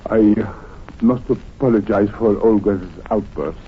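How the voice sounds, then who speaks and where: An actor playing a suave, courteous foreign consul in an old-time radio drama, with formal, careful phrasing. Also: male voice, fairly low pitch, unhurried, close to an everyday voice. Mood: dismayed. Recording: tinny, noisy vintage radio recording.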